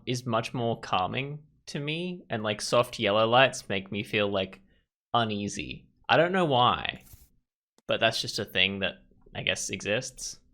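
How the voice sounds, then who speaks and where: A man's voice: medium pitch, bright, forceful tone. A man hosting a gaming video, casually commenting and reading game text aloud with conversational asides.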